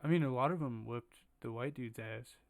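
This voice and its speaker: Deadpan young man trading teasing jabs. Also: medium pitch, normal speed, gravelly. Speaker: podcast co-host. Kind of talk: casual chat.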